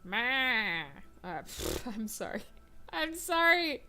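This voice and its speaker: A tired young woman chatting in an excitable, self-deprecating way while playing a game on her channel. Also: on the high side, slow, noisy background. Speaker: gaming vlogger. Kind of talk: casual let's-play chat.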